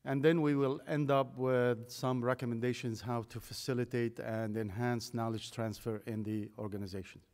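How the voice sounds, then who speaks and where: A man of about fifty giving a technical presentation at a podium microphone, speaking in a relaxed, conversational way with many fillers. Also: mid-range voice, moderate pace, hardly any pauses. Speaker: guest speaker. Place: large conference hall. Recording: long hall reverb.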